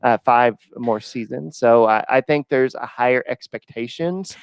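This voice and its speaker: A man with a smooth, very clear voice co-hosts a casual sports podcast. He talks in a relaxed, hesitant way, often pausing on fillers. The recording is dry and close. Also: mid-range voice, no room sound. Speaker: podcast co-host.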